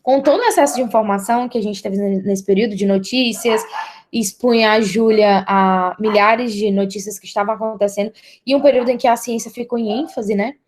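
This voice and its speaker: A woman's voice: mid-range voice, brisk, a little mellow. A young adult woman with a Brazilian accent, giving an academic presentation as a university student.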